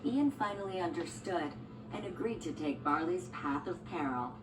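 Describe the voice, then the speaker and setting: Low-pitched woman narrating a children's story. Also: lively intonation, moderate pace. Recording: echoey, distant, music behind.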